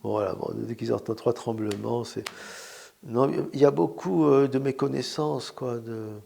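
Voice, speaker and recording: A man with a deeply gravelly, creaky voice answers an interviewer. He speaks haltingly with many fillers over a faint hiss of noise. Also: dark tone.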